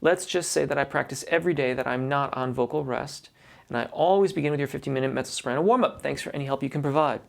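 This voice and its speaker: A man with a gravelly, mid-pitched voice talks through singing advice for a viewer. He speaks loosely and practically, breaking off and restarting sentences as he thinks. His pitch sits in the middle of the male range. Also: fast talker. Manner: reads a viewer's letter aloud, then gives practical advice with many restarts. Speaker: voice teacher answering a viewer question. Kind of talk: instructional talk to camera.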